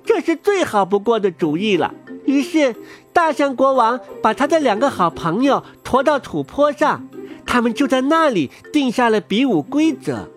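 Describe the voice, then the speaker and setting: A woman narrating a children's story in an expressive storytelling manner. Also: a little high, moderate pace. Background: music.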